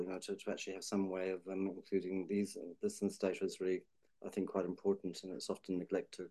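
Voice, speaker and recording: A man with a very low, mellow voice talks in a flat, even tone. He speaks thoughtfully and hedges as he goes, with plenty of fillers and restarts. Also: rough recording. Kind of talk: policy discussion.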